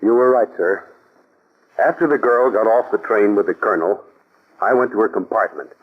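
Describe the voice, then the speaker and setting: An actor playing an eager young male assistant agent in a 1940s radio drama, reporting briskly. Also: lowish voice, husky. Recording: thin, phone-like old radio sound.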